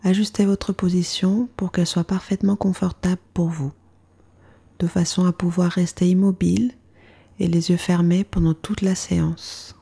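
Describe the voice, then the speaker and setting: A woman leading a guided meditation as a yoga instructor, speaking in a calm, soothing way with soft phrase endings. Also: fairly low pitch, normal speed, creaky, slightly rough.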